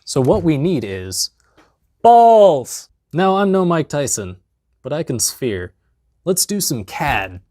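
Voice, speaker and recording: A young man in his twenties with a lapel mic in a basement, narrating a comedic DIY tutorial in a deadpan, jokey way with mumbled asides. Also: a little high, slow, dry recording, studio quality. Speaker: DIY maker vlogger. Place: basement workshop, indoors.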